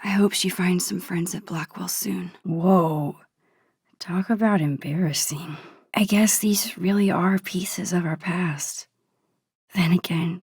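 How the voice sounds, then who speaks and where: A gentle, introspective teenage girl from a video game. Her voice is soft and husky with breath, recorded very dry and close. She takes her time over each phrase, hesitant and wistful, as if musing to herself. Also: on the low side, no echo. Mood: pensive, concerned, then nostalgic. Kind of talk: naturalistic video game dialogue and inner monologue.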